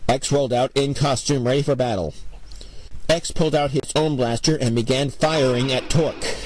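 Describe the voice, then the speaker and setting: A man's voice: mid-range voice, moderate pace, a little mellow, dry recording, rough recording. A man narrating a scripted superhero audio drama in a dramatic storytelling style, over music.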